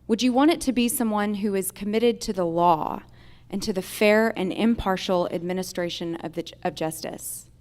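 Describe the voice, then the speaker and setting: American female candidate, mid-pitched, deliberate and rhetorical forum closing statement. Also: darkish tone. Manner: rhetorical, deliberate repeated questions. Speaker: judicial candidate. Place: live in a theater.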